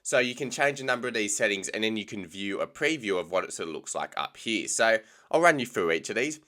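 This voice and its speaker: Low, bright-toned Australian man explaining. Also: lively intonation, a little fast. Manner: casual explaining with frequent ums. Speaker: tech tutorial host. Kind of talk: screen-recorded software tutorial.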